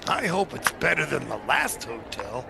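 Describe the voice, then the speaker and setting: A man playing a brash, teasing, excitable married wisecracker in 1940s-style radio comedy. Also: medium pitch, normal speed, vocal fry, background noise. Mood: grumbling.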